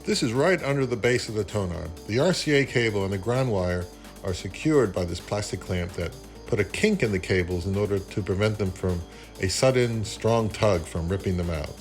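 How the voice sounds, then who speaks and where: A man narrating a casual hands-on how-to, unhurried and thinking aloud as he works. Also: lowish voice, animated, a little mellow, soft background music. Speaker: repair tutorial presenter.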